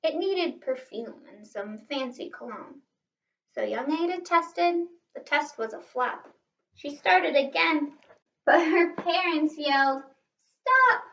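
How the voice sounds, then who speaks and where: High-voiced woman reading a storybook aloud. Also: takes it slowly. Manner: animated, asks listeners questions, stresses rhymes. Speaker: teacher reading a picture book aloud to children. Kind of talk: expressive children's storybook read-aloud. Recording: roomy, slightly distant, dull sound.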